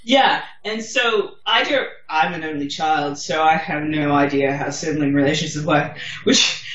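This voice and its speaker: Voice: medium pitch, a bit bright. A co-host in a casual chat reviewing a TV episode, opinionated and wry, swearing freely. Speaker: podcast co-host. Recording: roomy, distant, dull sound.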